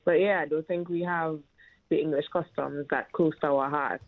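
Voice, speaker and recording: A woman from Trinidad with a Trinidadian accent, calling in as a guest and speaking in a measured, thoughtful way. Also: sits low, steady tone. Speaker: phone-in guest. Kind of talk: casual radio talk show chat. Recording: tinny phone line.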